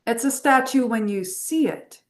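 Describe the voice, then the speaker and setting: A woman reading the part of a cool, confident female adventurer at a script table read, brisk and matter-of-fact. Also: fairly high pitch, animated. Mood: knowing.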